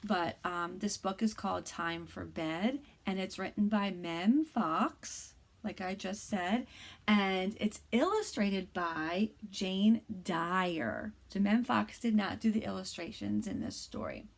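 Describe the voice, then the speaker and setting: A woman teacher in her thirties reads a bedtime board book to kindergarteners, gentle and encouraging. She speaks slowly, in an unhurried way. Her voice is husky and breathy, and it often sinks into a hush. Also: medium pitch, lively intonation. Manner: soft, hushed reading, often whispering; gentle and encouraging to young children. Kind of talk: read-aloud of a bedtime picture book.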